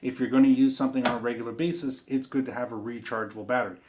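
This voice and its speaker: A man walks through a product like a casual reviewer, explaining each step in plain, folksy language. His voice has an ordinary middle pitch, and there is some faint noise behind him, with small handling clicks. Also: steady tone, very fast.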